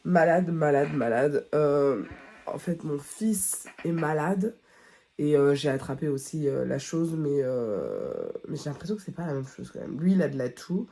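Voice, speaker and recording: A woman's voice: deep voice, big pitch swings, a little slow, gravelly, warm tone, breathy edge. A woman vlogging at home with a head cold, talking casually and rambling with many fillers and restarts. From France. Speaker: beauty vlogger. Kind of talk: casual chat to camera. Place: at home, early morning.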